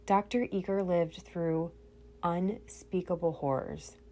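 Low-voiced congested woman podcaster. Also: warm tone, slight background noise. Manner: earnest, speaking straight to listeners. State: congested from a cold. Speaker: podcast host. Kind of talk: personal reflective monologue.

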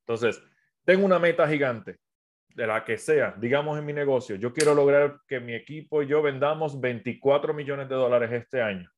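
A Puerto Rican man with an accent blended by years in South America, coaching his audience on a live stream. He talks to them directly and casually in a middle-pitched voice. His sentences are full of fillers and restarts. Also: normal speed, slight background noise. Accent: Puerto Rican Spanish with a South American mix. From Puerto Rico. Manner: conversational, many fillers and restarts, addresses viewers directly. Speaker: entrepreneur and business coach. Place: at home, phone on a tripod.

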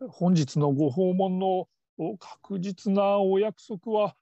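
A man's voice: sits high, takes it slowly, dark tone, no echo. A man playing a flustered, middle-aged father who works as a call-centre operator, stammering in stiffly formal politeness in a radio drama. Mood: stiffly polite. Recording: dull lo-fi audio.

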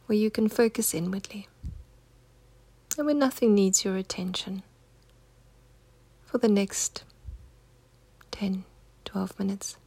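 A woman leading a guided meditation in a soft, husky, near-whispered voice, giving gentle, unhurried, soothing instructions. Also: mid-range voice, no room sound.

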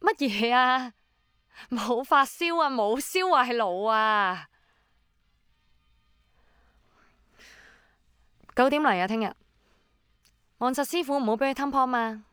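A woman's voice: a little high, lively intonation, normal speed, sharp tone, clear, resonant tone, no room sound. Woman acting as practical middle-aged mum, Hong Kong accent, brusque, clipped, dismissive, radio drama, dry recording. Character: busy, dismissive, no-nonsense middle-aged mother.

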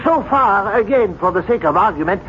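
Deliberate formal doctor, radio drama, arguing with lively swoops, dull hissy old recording. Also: male voice, high-pitched. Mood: reasoning. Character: measured, reasoning male doctor advising a troubled patient. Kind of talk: 1970s radio drama acting. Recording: muffled, noisy vintage radio broadcast.

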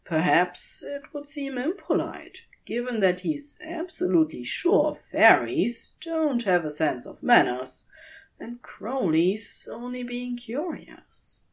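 A woman reading a story aloud as a scripted fiction narration, with measured, expressive storytelling. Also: on the high side. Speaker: podfic narrator.

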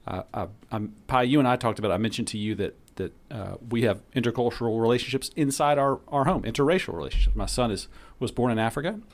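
A man's voice: mid-range voice, quick pace. A man hosting a podcast interview, asking questions in a friendly, curious way with frequent restarts. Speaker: podcast host interviewing guests. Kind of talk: casual interview.

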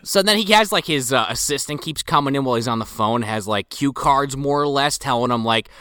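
A man's voice: mid-range voice, a little fast, bright, forceful tone, clean tone, dry recording, studio quality. A man co-hosting a casual movie commentary, recapping scenes and swearing freely. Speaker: podcast co-host.

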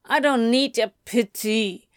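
A woman's voice: fairly high pitch, moderate pace, a little mellow, no echo, studio quality, close to an everyday voice. A woman voicing an impulsive, snappish male snake demon in an audiobook story, blurting and yowling. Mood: sulky.

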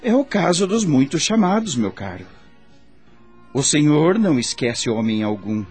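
An actor playing a gentle spirit healer in a Brazilian radio drama, speaking in a solemn, measured, preaching manner with a Brazilian accent. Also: male voice, on the high side, big pitch swings, breathy edge, faint music behind, heavily acted. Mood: solemn exhorting. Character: gentle male spirit healer counselling a patient.

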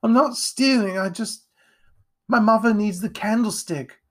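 A man voicing a frightened, remorseful young boy thief with rough, ungrammatical speech in an audiobook. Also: high voice, steady tone, slow pace, fairly bright tone, pitched above the performer's own voice. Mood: tearful, apologetic. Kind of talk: audiobook character voice.

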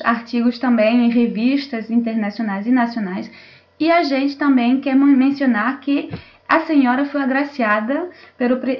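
A woman hosting a panel, introducing it in a semi-formal, somewhat halting way over an online call. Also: fairly high pitch. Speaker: host and moderator. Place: remote video call. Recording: dull lo-fi online call audio.

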